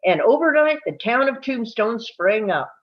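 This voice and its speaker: A woman's voice: a little high, big pitch swings, moderate pace. Woman reading narration, dull lo-fi sound. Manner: storytelling read with occasional stumbles over words. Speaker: narrator in a script table read. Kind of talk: scripted narration, read aloud live.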